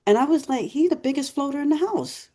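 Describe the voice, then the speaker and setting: A woman co-hosting a podcast in a casual, rambling chat, her voice going hoarse as she keeps clearing her throat. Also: sits high, expressive, a little fast, warm tone. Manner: chatty, rambling, many fillers. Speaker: podcast co-host.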